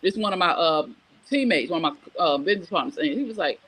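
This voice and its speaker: A woman's voice: fairly low pitch, lively intonation, fast talker, a bit bright, slightly rough. A middle-aged woman hosting a casual live morning show, giving frank, emphatic advice and telling stories conversationally. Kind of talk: casual chat, reading viewer comments and telling personal stories.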